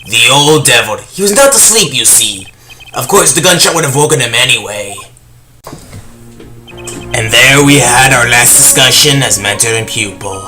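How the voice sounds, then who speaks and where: A man playing a proud, theatrical stage magician with formal, measured phrasing in a game fandub, with music behind him. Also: mid-range voice, lively intonation, lightly acted. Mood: wry, guarded. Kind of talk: dramatic dialogue in a video game fandub. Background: prominent music.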